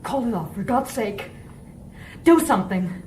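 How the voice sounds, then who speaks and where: A woman's voice: mid-range voice, lively intonation, a little slow, darkish tone, reverberant, a little hiss or hum, lightly acted. A woman playing a defiant, sardonic revolutionary who scorns robots in a radio drama. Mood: nervous, defensive. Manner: mocking, sardonic.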